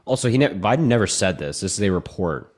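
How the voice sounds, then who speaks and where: A man's voice: deep voice, expressive, fast, darkish tone. A man in a heated political call, blunt and exasperated, swearing as he argues back. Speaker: debate participant.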